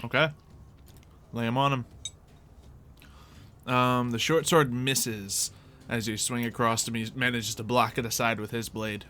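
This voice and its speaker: A man acts as game master for a tabletop game among friends. His voice is bright and sharp at a middle pitch, and he improvises playful, vivid descriptions of the action. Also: steady tone, measured pace, long pauses, slight background noise.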